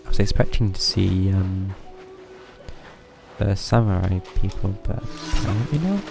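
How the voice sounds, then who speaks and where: A man's voice: sits low, lively intonation, a little slow, gravelly. A man giving casual live game commentary, thinking aloud in a tentative way. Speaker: game streamer. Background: game music.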